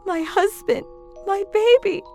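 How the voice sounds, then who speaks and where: A woman's voice: very high-pitched, takes it slowly, mellow, soft background music. A woman voicing a grieving young wife bent on revenge, speaking bitterly and intensely in a manga dub.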